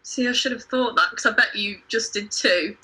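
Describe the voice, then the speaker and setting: A woman's voice: medium pitch, moderate pace, sharp tone, breathy edge, poor audio. A woman bantering casually as a quiz contestant in a jokey, self-deprecating way.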